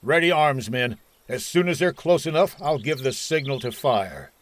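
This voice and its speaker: A man's voice: mid-range voice, lively intonation, normal speed, natural voice. A man playing a hard-line, suspicious army colonel with an authoritative bark in an old-time radio drama. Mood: stern, commanding. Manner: barking, authoritative.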